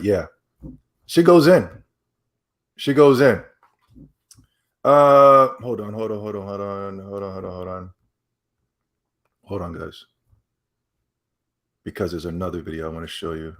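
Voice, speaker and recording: A man hosting a podcast in casual chat, rambling and excitable as he reacts to videos and reads donations. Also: low-pitched. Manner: rambling, loose and excitable, with many repeats. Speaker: podcast host.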